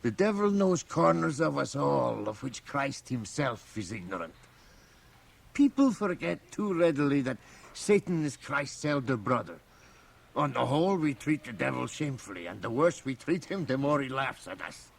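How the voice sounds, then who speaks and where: An actor playing a very old, mischievous and learned priest in a radio drama, with a gravelly aged voice and sly, chuckling asides. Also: male voice, mid-range voice, sing-song, moderate pace. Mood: amused. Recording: faint hiss, home cassette radio transfer.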